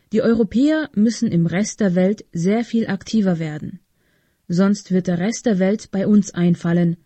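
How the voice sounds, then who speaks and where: A woman's voice: medium pitch, warm tone, no echo. A woman reading a scripted political commentary as a radio presenter.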